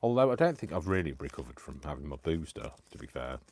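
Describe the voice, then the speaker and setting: A man hosting a live crafting stream, chatting casually and poking fun at himself, tired and clearing his throat. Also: extremely low pitch, big pitch swings, fast, a little mellow. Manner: chatty, self-deprecating, talks to himself. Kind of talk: rambling casual chat while crafting.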